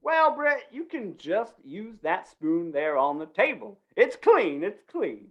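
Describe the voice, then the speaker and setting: A flustered small-town fair announcer voiced by a woman in an old western radio play. The delivery is dithering and folksy, with very animated, swooping pitch. The live recording sounds slightly dull and lo-fi. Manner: dithering, halting, folksy, repeats words.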